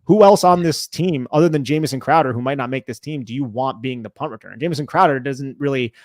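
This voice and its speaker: A man's voice: medium pitch, quick pace, no echo, clean studio sound. An American man co-hosting a sports talk show, giving casual, conversational analysis.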